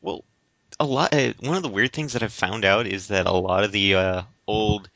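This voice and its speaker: A man co-hosting a casual debate podcast, speaking in a halting, stammering way. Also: on the low side, a bit bright, dry recording. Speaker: podcast co-host. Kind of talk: casual debate-style chat. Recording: slightly dull, lo-fi audio.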